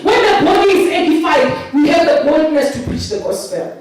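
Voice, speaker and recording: A South African woman pastor preaching a sermon in an animated, sing-song way. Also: sits high, a little fast, faint music behind, some chatter behind. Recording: very echoey, distant mic.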